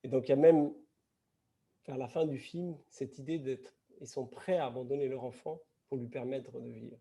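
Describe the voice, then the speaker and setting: A man speaking in a film discussion after a screening, his voice gravelly and very dark. He talks in a reflective, halting way and often restarts his sentences. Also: mid-range voice, fairly even intonation. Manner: halting, many restarts and fillers. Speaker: festival panelist.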